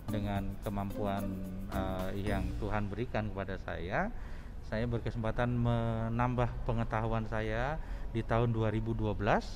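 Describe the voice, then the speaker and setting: Hesitant middle-aged Indonesian chef, low voice. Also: male voice, little pitch movement, a little slow, music in the background. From East Java, Indonesia. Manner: hesitant, many filler sounds. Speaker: chef and lecturer, guest. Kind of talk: interview answers.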